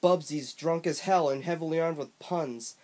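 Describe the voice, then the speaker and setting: A man voicing a blunt, weary woman bartender who talks in a flat, curt way. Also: on the high side, moderate pace, close to an everyday voice.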